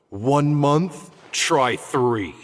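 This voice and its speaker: An actor playing an exasperated elderly scholar in a video game, scolding sardonically with theatrical rhetorical questions. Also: male voice, mid-range voice, animated, drawn-out words, big-room sound, a little hiss or hum. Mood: weary, stern.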